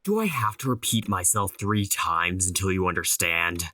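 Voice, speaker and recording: A stern male boss in an audio drama, with a low voice and a close, dry sound. He lectures and scolds in a condescending way. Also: expressive, normal speed, sharp tone, dry recording. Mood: stern, scolding. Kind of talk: audio horror drama acting.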